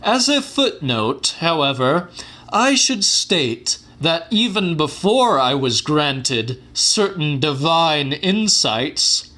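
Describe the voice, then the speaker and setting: A fervent male scientist holds forth to his audience in a horror audiobook, as acted by a male reader. His voice is fairly high and swings widely in pitch. He speaks very slowly, with formal, theatrical oratory. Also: pushed and bright, heavily acted. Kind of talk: dramatic audiobook monologue.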